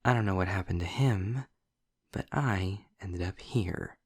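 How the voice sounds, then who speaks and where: Man acting snarky demon, audio roleplay, deep gravelly voice, dry close sound, testy, articulate, deliberate pauses. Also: no room sound. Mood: wry, bitter. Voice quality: gravelly, husky, breathy. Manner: wry, formal wording, deliberate pauses. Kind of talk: scripted audio roleplay monologue addressed to the listener.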